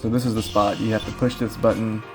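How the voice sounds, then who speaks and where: Low-voiced man rambling over game music. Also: level tone, quick pace. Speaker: speedrun player and commentator. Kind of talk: casual video game commentary. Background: video game music.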